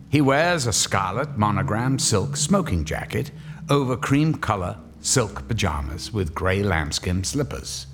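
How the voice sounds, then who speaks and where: A man narrating a radio drama in a measured, vivid storytelling voice. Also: on the low side, background noise.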